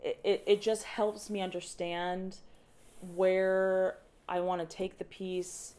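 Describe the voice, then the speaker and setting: A woman's voice: mid-range voice, flat delivery, slow, dark tone. Young woman director, thinking aloud. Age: young adult. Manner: thoughtful, restarts often, laughs lightly. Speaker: opera director. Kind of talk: casual podcast interview.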